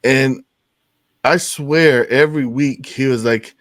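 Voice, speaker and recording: A man's voice: medium pitch, slow, no room sound, studio quality. A man hosting a podcast in an informal, agreeable, conversational way, reacting to his guest and swapping stories. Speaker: podcast host, military veteran. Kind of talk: casual chat.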